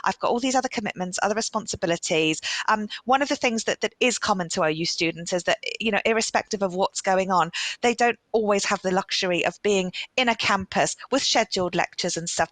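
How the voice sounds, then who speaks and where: A woman's voice: mid-range voice, fairly bright tone, no room sound, rough recording. Woman host on a live student stream, encouraging and chatty, dry close sound. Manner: encouraging, upbeat, reads viewer comments and poll results aloud.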